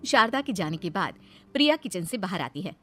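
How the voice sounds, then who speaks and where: A woman's voice: mid-range voice, big pitch swings, fairly quick, music in the background. A woman reading scripted fairy tale narration as the storyteller.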